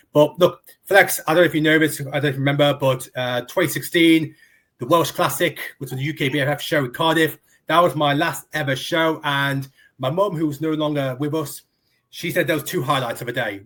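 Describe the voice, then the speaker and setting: A man's voice: on the high side, a bit bright. A man from the UK hosting an interview over a video call, chatty and friendly, calling his guest "mate". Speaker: interview host.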